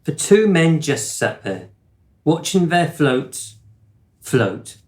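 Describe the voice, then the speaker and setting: An actor playing a gentle, absent-minded middle-aged father in a radio play, with a wistful storyteller's manner. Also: a little high, very animated, takes it slowly, echoey room. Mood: dramatic storytelling.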